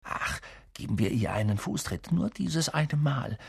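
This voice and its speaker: A man reads as a cheeky young boy wizard in a storybook. He mutters in a hushed, husky voice, blunt and loyal. The sound is close and dry. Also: medium pitch, a little slow, dry recording, heavily acted.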